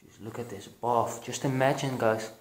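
A man's voice: medium pitch, normal speed. Young Belgian man exploring with a camera. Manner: excited, hushed, with frequent fillers. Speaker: urban explorer vlogger. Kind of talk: casual vlog narration. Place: abandoned building, echoey halls and attic. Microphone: action camera, handheld or body-worn.

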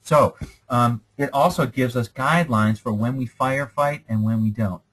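A man's voice: medium pitch, moderate pace, a bit bright, breathy edge, rough recording. A man lecturing over slides in a conversational, explanatory way, often checking in with "okay?".

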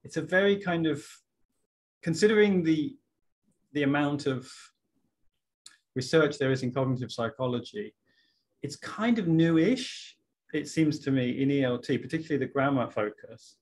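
A man's voice: fairly high pitch, normal speed, long pauses, a little mellow, slight background noise. A man in his thirties hosting an interview over a video call, chatting and thinking aloud with restarts as he sets up his questions. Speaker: interviewer host. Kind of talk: casual interview talk. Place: room lined with bookshelves.

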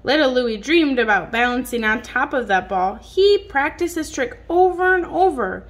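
A woman reading a picture book aloud to a young child in an expressive, child-directed way, drawing out words. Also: sits high, moderate pace. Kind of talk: read-aloud storytelling to a young child, with simple questions. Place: indoors at home on a dark wood floor. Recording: noisy home phone recording.

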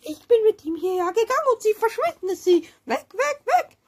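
A woman voicing a shrill, fussy society lady who is easily upset, in a toy-play story. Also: very animated, brisk, a little hiss or hum. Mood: indignant. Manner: squealing, indignant, flustered.

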